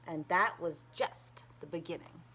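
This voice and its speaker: A woman reading a children's picture book aloud in an expressive, emphatic storytelling manner. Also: on the low side, measured pace, a little hiss or hum.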